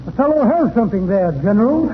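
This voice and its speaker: Matter-of-fact colonel, very high animated voice, vintage radio comedy, thin noisy recording. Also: male voice, fast talker, narrow, tinny audio. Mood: brisk. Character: brisk, matter-of-fact army cavalry colonel, a man. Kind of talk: 1930s radio comedy acting.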